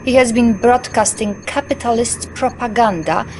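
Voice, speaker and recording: An actress playing a loyal young Soviet intelligence officer giving video game mission briefings in a crisp, confiding military manner. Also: female voice, on the high side, moderate pace, a little mellow, a little hiss or hum, natural voice. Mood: briefing, alert.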